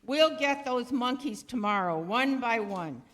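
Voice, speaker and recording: A woman's voice: a little high, expressive, a little slow, fairly bright tone. Woman playing a brash gangster boss in an echoey hall. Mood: suspicious, menacing. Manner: blustering, slangy tough-guy talk. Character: Spike, tough bossy male gangster, slangy and defiant. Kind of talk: recreated 1930s radio drama acting. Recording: reverberant hall stage recording.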